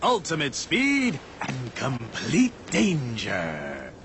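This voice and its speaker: An actor playing a showy, sneering racing commissioner and announcer in a video game, with condescending, drawn-out emphasis. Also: male voice, mid-range voice, wildly swooping pitch, noisy background, full character voice. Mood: gloating. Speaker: race show host. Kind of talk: hyped sports-show announcing. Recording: music behind the voice.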